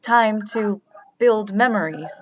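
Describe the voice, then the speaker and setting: A woman's voice: mid-range voice, slow, close to an everyday voice. Woman playing formal, literal artificial being, slightly dull audio. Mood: matter-of-fact, detached. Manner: formal, literal, uncontracted phrasing. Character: artificially created female being, earnest, formal, emotionally detached. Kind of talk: audio drama acting.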